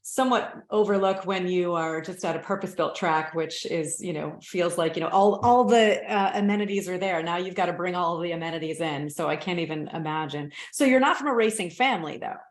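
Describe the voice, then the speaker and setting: A woman's voice: fairly low pitch, brisk, few pauses, roomy sound. A woman hosting a panel interview, asking guests friendly questions and passing the floor to each. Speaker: panel host, moderator.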